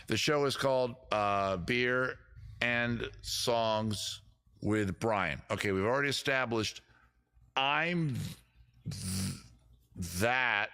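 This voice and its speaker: A man hosting a casual comedy music stream, rambling and joking at himself between songs while he looks up chords, with a deep voice and loud guitar playing under him. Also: clear, resonant tone. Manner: rambling, self-mocking, swears casually. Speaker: host, singer and guitarist.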